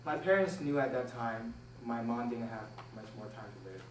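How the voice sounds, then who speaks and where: A young man from Taiwan delivers a prepared personal speech to an audience. He tells it deliberately, pausing between phrases. The recording is roomy and distant, with faint noise behind him. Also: medium pitch. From born in Taiwan, moved to the United States at six. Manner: deliberate, measured storytelling with pauses. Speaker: speech club member giving a speech. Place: indoor room, recorded from a distance.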